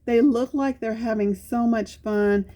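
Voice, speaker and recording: A woman's voice: fairly high pitch, a little slow. A woman hosting a children's storytime, reading a picture book aloud with chatty, exclaiming asides and repeated phrases. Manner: animated, exclaiming, repeats phrases to young listeners.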